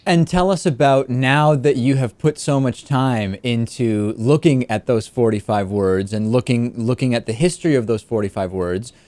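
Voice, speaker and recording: A man's voice: mid-range voice, normal speed, few pauses, a little mellow, no echo. A man with an American accent hosting an interview, setting up questions for a guest. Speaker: talk show host, interviewer.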